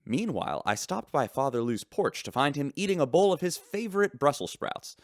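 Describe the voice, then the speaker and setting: An actor playing a tongue-in-cheek broadcaster in a sci-fi radio drama, narrating scripted news with theatrical announcer delivery. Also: male voice, fairly high pitch, very animated, brisk, no echo, clean studio sound. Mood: wry announcing. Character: smooth, tongue-in-cheek male space-station radio broadcaster.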